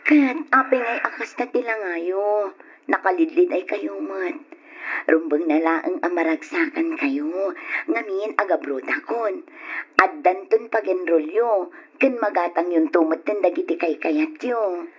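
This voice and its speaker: A woman's voice: fairly low pitch, measured pace, husky. A woman playing an earnest mother who is heading abroad for work, in an old radio drama. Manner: earnest, hopeful. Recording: muffled old radio recording.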